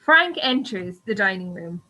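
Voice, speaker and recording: A woman's voice: mid-range voice, big pitch swings, measured pace, pushed and bright, full character voice. A woman narrating the stage directions of an amateur radio play as a scripted reader. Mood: neutral, measured. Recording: slightly dull, lo-fi audio.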